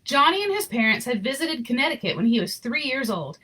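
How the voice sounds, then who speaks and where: A woman reading a children's picture book aloud in an expressive, informal way with chatty asides. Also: high voice, a little fast, breathy edge, low-quality recording. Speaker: story reader.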